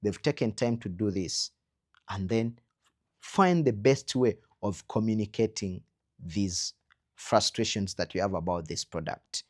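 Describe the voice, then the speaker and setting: A man with a Ugandan accent giving an instructional talk as a trainer, earnestly advising with rhetorical questions and emphatic pauses. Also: on the low side. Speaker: trainer in creative production quality control.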